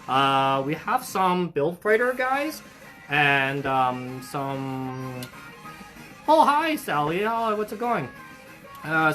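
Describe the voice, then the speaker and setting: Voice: medium pitch, pushed and bright. A young adult hosting a hobby shop livestream in a casual, upbeat way, chatting with viewers, greeting them by name and reading out their comments, with lots of fillers and restarts. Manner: friendly and effusive toward viewers.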